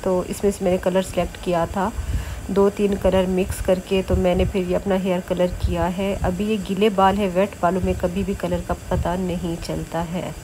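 A middle-aged woman, chatting through a vlog voice-over with a sore, hoarse throat, coughing now and then and pausing often. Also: on the low side, level tone, warm tone, a little hiss or hum, low-quality recording. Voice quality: coughs between phrases. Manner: chatty, explanatory, with many pauses and fillers. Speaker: vlogger.

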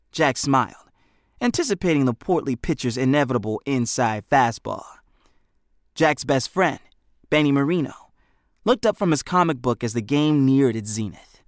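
An American man reads a scripted story for an audiobook. His pitch sits in the middle. The sound is close-miked and very dry, with no room to it. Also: no room sound. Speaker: audiobook narrator.

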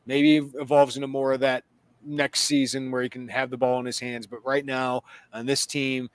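A man's voice: mid-range voice, little pitch movement, moderate pace, no echo. A man in his thirties hosting a sports podcast, reading out viewer questions in a chatty, joking way. He talks from a small room at home over a video call, wearing earbuds. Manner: chatty and joking, laughs between lines. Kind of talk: casual sports talk. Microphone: earbuds with a webcam on a video call.

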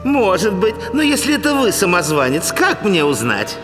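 A man's voice: high voice, wildly swooping pitch, moderate pace. A man voicing a scheming, fawning, self-important pig governor in a dubbed cartoon. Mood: flustered, suspicious. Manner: pompous, obsequious with superiors. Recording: long reverb, music underneath.

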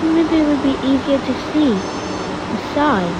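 A woman with a high voice talks casually through a train ride, echoing each station announcement and exclaiming as the train picks up speed. A loud rumble of the train runs under everything she says. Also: slow. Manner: repeats announcements, excited exclamations. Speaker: train video narrator. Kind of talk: casual commentary over a train ride video. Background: rumbling train noise.

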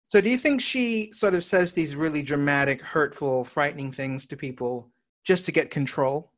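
A man interviewing an actress, asking measured questions and murmuring agreement as she talks. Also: fairly high pitch, a bit bright. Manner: measured questions, frequent "mm-hmm" backchannels. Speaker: interviewer, writer and director.

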